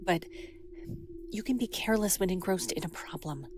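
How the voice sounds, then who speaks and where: A woman's voice: fairly low pitch, moderate pace, slight background noise, natural voice. A woman playing a poised, caring superheroine. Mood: concerned. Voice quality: poised and gently chiding. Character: poised caring female superheroine, force-field user. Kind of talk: audio drama acting. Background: loud score music.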